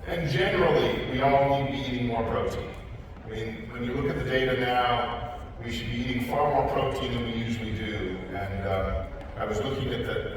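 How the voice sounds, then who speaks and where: A man's voice: medium pitch, noisy background. Man, confident explainer, talks to the audience, far off mic, roomy echoey hall. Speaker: panel speaker on health. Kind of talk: explanatory panel talk. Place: large conference hall.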